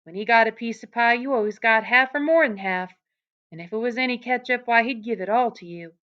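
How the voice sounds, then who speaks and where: A woman reading aloud, voicing a stern old aunt who scolds in a sharp rural drawl. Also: a little high, fairly quick, muffled recording, voice pushed up, close to an everyday voice. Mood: scolding.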